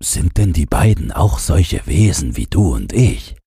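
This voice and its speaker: A man voicing a naive, curious newborn snowman with a soft, questioning manner in a storybook. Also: deep voice, sing-song, measured pace, vocal fry, slightly rough, dry recording, studio quality, exaggerated voice.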